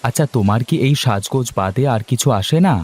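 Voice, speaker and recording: A man's voice: medium pitch, a little fast, darkish tone, breathy edge, close to an everyday voice. A man voicing a blunt, grumbling young village husband in a cartoon. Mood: exasperated. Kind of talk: cartoon dialogue acting.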